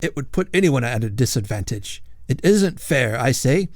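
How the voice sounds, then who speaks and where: Man voicing a gruff old ghost knight. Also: mid-range voice, big pitch swings, moderate pace, no room sound, studio quality, natural voice. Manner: growling, gruff. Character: old male ghost knight, blustering and chivalrous.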